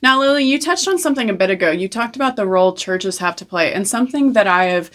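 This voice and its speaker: A woman's voice: medium pitch, lively intonation, quick pace, a bit bright. A young woman hosting an interview, emphatic and affirming. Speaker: host. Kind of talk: interview conversation.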